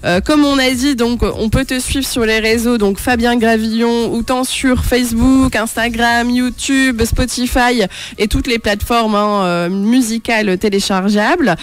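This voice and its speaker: A woman's voice: a little high, fairly even intonation, a little slow. A woman hosting a radio interview, asking chatty, encouraging questions. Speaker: radio show host.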